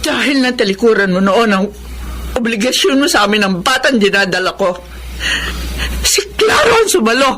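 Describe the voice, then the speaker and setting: Widowed Filipino mother for radio serial actress, higher voice, wounded and grave, noisy background. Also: big pitch swings, normal speed, a bit bright. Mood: bitter, hurt. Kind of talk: radio drama acting. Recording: noisy track with ambience.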